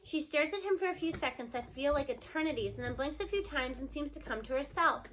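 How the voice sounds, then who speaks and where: A woman reading a children's novel aloud at home, acting out the characters' lines and slowing down for emphasis. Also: high voice, a bit bright. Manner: acts out dialogue with raised voice and slows for emphasis.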